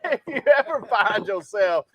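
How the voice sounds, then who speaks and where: A man's voice: high-pitched, animated, normal speed, highs cut off, background noise. A man with a Southern Mississippi accent, chatting casually as a cooking show co-host and reading out viewer comments. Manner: laid-back drawl, laughs often, reads viewer comments. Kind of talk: casual chat.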